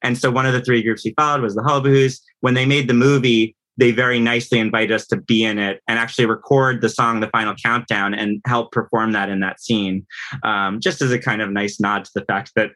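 A man talking casually as a podcast guest, animated and self-deprecating. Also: medium pitch, a little fast. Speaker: podcast guest, composer and audio producer. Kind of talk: casual interview conversation.

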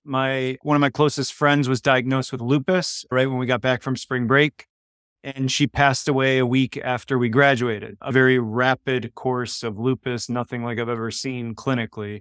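A man whose first language was Polish, speaking as a doctor guest on a podcast and telling his story in a relaxed, candid way. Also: mid-range voice, clear, resonant tone, no echo, studio quality. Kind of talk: casual interview answers.

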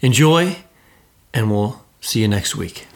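A man's voice: lowish voice, animated, slow pace, very noisy. A man speaking casually as the host of a podcast.

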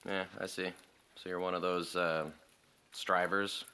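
Audio drama role: rich American senior boy, deep but crisp-edged, casual and teasing. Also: level tone, unhurried, pushed and bright. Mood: wry, relaxed. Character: laid-back, teasing rich legacy high school senior boy. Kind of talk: conversational audio drama acting.

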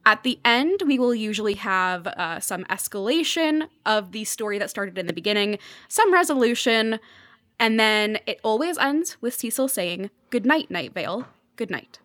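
A woman's voice: mid-range voice, lively intonation, moderate pace, a bit bright, no room sound. A woman guest on a podcast, explaining pop culture in an enthusiastic, conversational way.

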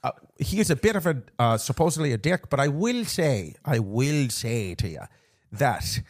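A man's voice: mid-range voice, big pitch swings, moderate pace, pitched below the performer's own voice. A man putting on an Irish accent as a jokey, profane, rambling podcast host in comic banter. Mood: chatty, amused. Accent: put-on Irish. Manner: rambling, giggly, swearing.

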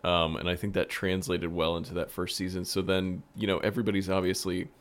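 A man's voice: low voice, little pitch movement, a little fast. A man hosting a casual roundtable conversation about a show he works on. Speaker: podcast host and show creator.

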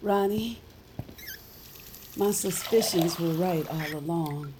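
A woman playing a weary, secretive witch in an audio drama, sounding breathy and exhausted. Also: on the low side, slow, noisy background. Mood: grave, weary. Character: weary, secretive witch, the hero's loyal friend. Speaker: supporting actor. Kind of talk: audio fiction acting. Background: music.

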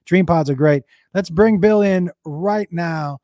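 A man hosting a casual chat, hoarse from losing his voice, halting and rambling with many restarts. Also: on the high side, dark tone, no echo, clean studio sound. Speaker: host.

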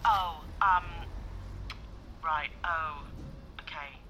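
A woman's voice: low voice, drawn-out words, bright, forceful tone, exaggerated voice. An actress playing an apologetic, polite female care home receptionist in an audio drama, hesitant, heard through an intercom. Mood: taken aback. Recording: noisy intercom line.

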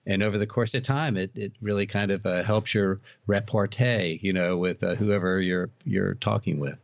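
Low-voiced middle-aged American man, roomy sound. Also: fairly even intonation, normal speed, gravelly, muffled recording. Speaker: podcast guest, author and haunted house operator. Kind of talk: casual interview storytelling.

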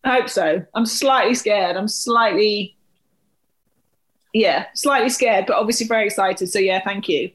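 A woman's voice: mid-range voice, a little slow. A British woman co-hosting a podcast in casual, chatty, informal conversation. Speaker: podcast co-host.

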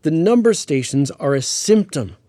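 A man acting as a learned astrophysicist in a Cold War radio drama, with a mellow, lightly high voice and lively intonation. He explains patiently and precisely, a touch pedantic, in a measured interview manner. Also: no echo, clean studio sound, natural voice. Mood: measured, grave. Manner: pedantic, measured, hesitant with hedging asides. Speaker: astrophysicist guest on a radio show.

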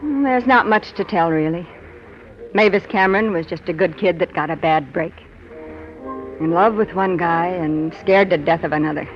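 A woman's voice: lowish voice, normal speed, lo-fi sound, natural voice. An actress playing a worldly young nightclub showgirl, coy and guarded, in 1940s radio noir style. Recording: band-limited 1940s radio with music.

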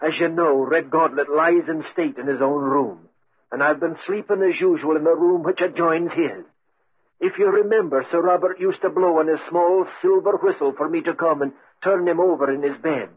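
An actor playing a devoted, morbid old manservant in a 1940s radio drama, with a husky voice. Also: male voice, a little high, normal speed. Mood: grave, foreboding. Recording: muffled 1940s radio recording.

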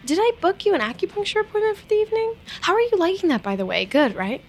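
An actress playing a needy, clingy young office worker in a scripted audio drama, hesitant and stammering. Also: female voice, very high voice, sing-song, quick pace, a little hiss or hum, heavily acted. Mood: chirpy, eager. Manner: hesitant, stammering, eager to please.

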